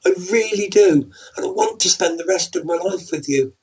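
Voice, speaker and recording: A man's voice: high voice, normal speed, a little mellow, breathy edge, voice pushed up. A man voicing a distraught, tearful wife in a spoken story, pleading in a raised, strained voice.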